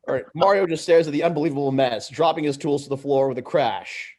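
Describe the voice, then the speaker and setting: A man's voice: fairly high pitch, fast, darkish tone. A man reading screenplay stage directions aloud as narrator. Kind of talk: read-aloud script narration.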